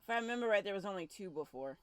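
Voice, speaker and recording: A woman's voice: medium pitch, expressive, rapid-fire, a little hiss or hum. A woman streaming a game, chatting casually and reacting in an easily startled, muttering way. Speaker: streamer playing a horror visual novel. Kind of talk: casual livestream commentary and reading aloud.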